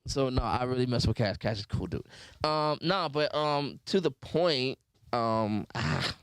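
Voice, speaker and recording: A man chatting on a podcast, his pitch swinging wide as he tells animated stories. The sound is dry and close, with no room around it. He jokes, leans on slang and laughs easily. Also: measured pace, clear, resonant tone, dry recording. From Cleveland, USA. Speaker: podcast host. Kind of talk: casual podcast chat.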